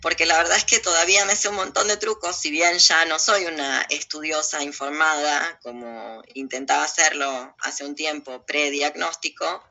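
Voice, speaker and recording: A woman with an Argentine accent speaks in a very sharp, forceful voice with plenty of gravelly fry. She argues bluntly and emphatically in loose interview talk, peppered with coarse slang. The audio is dull and lo-fi. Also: low voice, fairly even intonation, normal speed, few pauses. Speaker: interview guest. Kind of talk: opinionated, informal interview talk.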